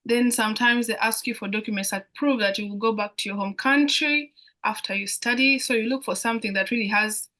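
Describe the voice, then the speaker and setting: A woman's voice: fairly high pitch, fairly even intonation, a little fast, sharp tone. A young woman from Uganda with a Ugandan accent, explaining things as a student guest in a live online Q&A, often repeating phrases as she goes. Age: young adult. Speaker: international student guest speaker on a Q&A.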